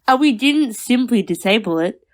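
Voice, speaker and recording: A woman's voice: on the high side, lively intonation, normal speed, lightly acted. A woman playing a matter-of-fact time traveler from the future, narrating in an audio drama.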